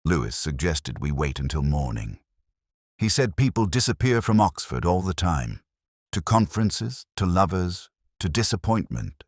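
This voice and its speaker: Casting: male actor as erudite, brooding inspector narrating a mystery drama; very low voice, expressive melody, wry and measured. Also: breathy edge, no echo, clean studio sound, full character voice. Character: brooding, erudite male detective inspector telling his case. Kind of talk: scripted first-person mystery narration.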